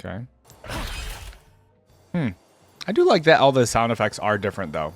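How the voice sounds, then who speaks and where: A man's voice: mid-range voice, huge pitch swings, moderate pace. A man giving casual reaction commentary as a video host, musing and thinking aloud. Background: game music and sound effects.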